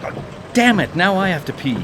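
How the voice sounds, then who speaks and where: A man's voice: sits high, very animated, moderate pace. Secretive killer guy, testy n defensive, hesitant, radio play, noisy behind him. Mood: irritated, sinister muttering. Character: edgy, secretive married man, secretly a killer. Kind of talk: live radio drama acting.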